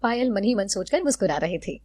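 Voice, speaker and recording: A woman's voice: medium pitch, very animated, brisk, darkish tone. A woman reading scripted narration for a cartoon family story.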